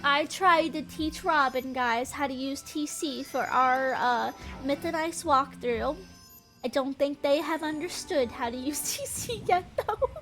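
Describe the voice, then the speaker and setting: A woman's voice: sits high, fairly bright tone. A young woman chatting casually while streaming a game, giggly and rambling, with a stuffy, congested nose. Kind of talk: casual gameplay chat.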